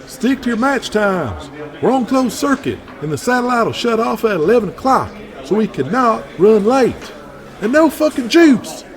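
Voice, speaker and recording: A man voicing an excitable, emphatic male wrestling promoter in an audio drama, gushing and nervously hurried. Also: very high voice, voice pushed up. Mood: stern, urgent. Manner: emphatic, exclamatory. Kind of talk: audio drama character acting.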